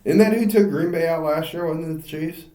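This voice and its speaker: A man's voice: a little high, fairly quick, dark tone, echoey room. A man co-hosting a podcast, joking casually, laughing often and stumbling over names. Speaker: podcast co-host. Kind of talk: casual banter.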